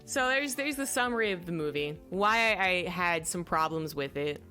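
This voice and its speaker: Low-voiced woman rambling through a film review. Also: lively intonation, moderate pace, sharp tone, faint music behind. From native English speaker. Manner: rambling, searches aloud for names, many fillers. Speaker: vlogger reviewing films. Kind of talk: casual film review chat.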